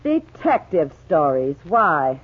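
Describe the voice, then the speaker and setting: An actress playing a brassy nightclub singer and gangster's moll, curt and slangy, in 1940s radio style. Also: female voice, deep voice, big pitch swings, slow pace, a little hiss or hum, full character voice. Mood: snappish. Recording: muffled old radio recording, loud music.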